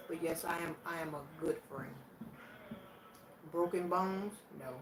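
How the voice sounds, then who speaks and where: Vlogger, woman in her 60s, slightly low voice, unhurried and plainspoken; distant mic, faint hiss. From Virginia, USA. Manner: plainspoken, slow and reflective, answering questions aloud. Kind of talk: casual question challenge. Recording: echoey room, voice from a distance, faint background noise.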